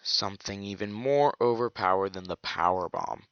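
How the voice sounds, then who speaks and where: A man's voice: fairly low pitch, moderate pace, a bit bright, smooth, dry recording, highs cut off. A man giving scripted commentary for a gaming video, sarcastic and profane, with ranting asides.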